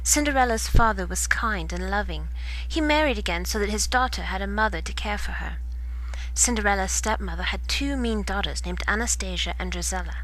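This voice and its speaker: A woman reading a children's bedtime story in expressive storybook narration. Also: mid-range voice, a little fast, a little hiss or hum, poor audio.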